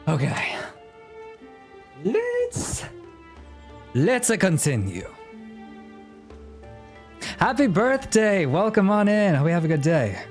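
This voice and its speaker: Slow-paced male game streamer, music behind. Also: sits high, huge pitch swings. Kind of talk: casual livestream commentary and reading game dialogue aloud.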